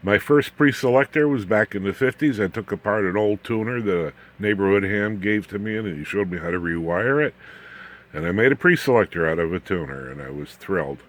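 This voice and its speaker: A man in his seventies with an American accent and a low-pitched voice talks off the cuff as a hobbyist showing his work in a home workshop. He rambles in a folksy, unscripted way. He often turns aside to the viewer with self-deprecating humour. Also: lively intonation, a little fast. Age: 70s. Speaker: ham radio hobbyist and video host. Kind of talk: casual unscripted workshop demonstration.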